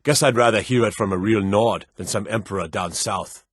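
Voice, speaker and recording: Man acting a gruff, low-voiced fantasy Nord guard. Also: moderate pace, no echo, clean studio sound, close to an everyday voice. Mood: blunt, matter-of-fact. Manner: blunt, curt, folksy rustic phrasing. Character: gruff, proud Nord guard, blunt and dutiful fantasy warrior. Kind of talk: fantasy video game character dialogue.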